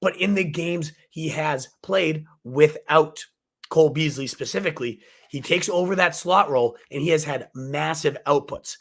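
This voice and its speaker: A man hosts a fantasy football livestream, his voice a little hoarse from a cold. He talks with excited, rapid-fire hype and sits a touch above the usual pitch for a man. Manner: excited, fast-talking hype. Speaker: fantasy football show host. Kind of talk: energetic livestream sports advice.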